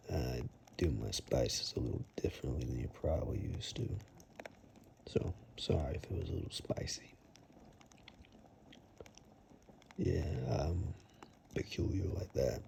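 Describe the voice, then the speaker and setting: A man playing a reclusive, kindly but gruff woodsman in an ASMR roleplay, murmuring haltingly and gently to the listener, sometimes in a whisper, with dry teasing. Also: a little hiss or hum, heavily acted. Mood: calm, reassuring. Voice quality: very deep, gravelly. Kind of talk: ASMR roleplay, soft intimate monologue to the listener.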